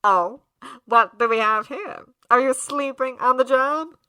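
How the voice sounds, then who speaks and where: A woman's voice: high-pitched, unhurried, sharp tone, clean tone, no echo, pitched above the performer's own voice. A woman voicing a composed male demon who teases in a casual, smug manner. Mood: teasing.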